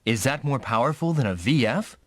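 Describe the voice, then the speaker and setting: A man's voice: mid-range voice, animated, brisk, heavy background noise, natural voice. An actor playing an earnest, dutiful young male rookie mech pilot in an anime-style video game. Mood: curious, surprised.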